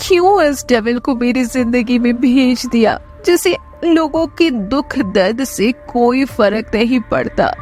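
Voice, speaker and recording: A woman's voice: on the high side, lively intonation, unhurried, hardly any pauses, a little mellow, faint music behind, voice pushed up. A woman voicing an anguished, defiant young captive bride in a romance audio drama, emotional and pleading.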